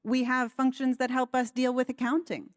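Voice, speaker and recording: An American woman on a conference panel speaking in a measured, articulate way, politely challenging a point. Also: high-pitched, flat delivery, no echo, studio quality. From United States. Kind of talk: panel discussion.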